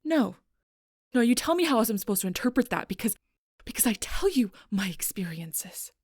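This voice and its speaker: Casting: woman to play a protective female alpha werewolf for intimate audio roleplay. Low-pitched, raspy, bitter and intense. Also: normal speed, no room sound, studio quality, full character voice. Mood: angry, exasperated. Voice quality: breathy, husky, rough-edged. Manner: intense, confrontational, swearing, often dropping to near-whisper. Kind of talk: audio roleplay acting addressed to the listener.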